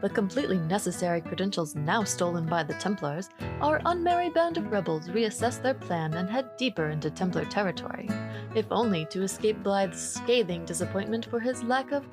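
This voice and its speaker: A woman delivers scripted, dramatic show narration. Her voice sits over a clearly audible bed of plucked guitar music. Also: mid-range voice, sing-song, a little fast.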